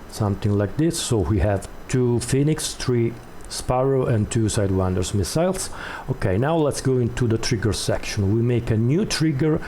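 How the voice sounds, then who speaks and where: A man hosting a step-by-step tutorial, explaining off the cuff in a halting way and repeating words as he goes. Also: mid-range voice, warm tone, a little hiss or hum. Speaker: tutorial host.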